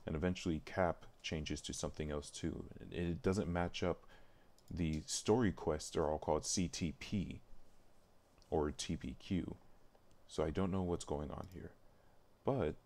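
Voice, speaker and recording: A man thinking aloud in a casual, halting way as he streams work at his computer, muttering to himself. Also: a bass voice, fairly even intonation, darkish tone. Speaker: live streamer working on a game mod. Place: indoors at a computer, keyboard typing.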